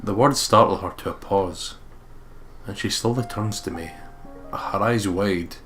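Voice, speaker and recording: A man reading a visual novel aloud as a let's play host, in expressive scripted narration. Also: on the low side, a little slow. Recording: guitar game music behind voice. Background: acoustic guitar game soundtrack.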